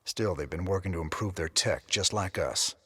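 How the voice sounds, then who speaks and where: A deep, husky man's voice in a reverberant room, as a tough, world-weary space commander. He talks gruffly and casually, as in a game cutscene. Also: moderate pace, sharp tone, exaggerated voice. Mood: matter-of-fact, resolved. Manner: gruff, casual, plain-spoken. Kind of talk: naturalistic game cutscene acting. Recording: long reverb of a large room.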